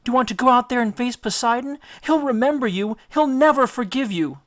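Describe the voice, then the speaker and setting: Man putting on a high, pleading lovesick nymph woman. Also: brisk, fairly bright tone, no echo, clean studio sound. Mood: desperate pleading.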